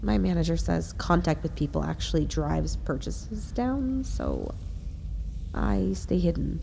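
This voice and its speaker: An actress playing a shy, earnest support group member in an audio drama, hesitant and plainspoken. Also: female voice, on the low side, sing-song, unhurried, vocal fry, warm tone, slight background noise, heavily acted. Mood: shy, hopeful. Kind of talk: audio drama acting, confessional sharing.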